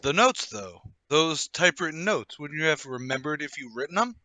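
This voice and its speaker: An actor playing a brisk, self-assured, well-to-do gentleman, emphatic and a little patronising, in a table read of a period murder mystery. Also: fairly high pitch, lively intonation, dry recording. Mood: confident, commanding.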